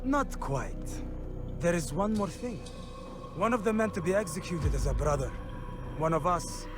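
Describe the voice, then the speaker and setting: An actor playing a stern, reproachful assassin superior in a video game, with a measured, formal, admonishing manner. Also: male voice, a little high, lively intonation. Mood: admonishing, urgent. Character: stern, reproachful assassin bureau leader giving orders. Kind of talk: video game dramatic acting. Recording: music behind.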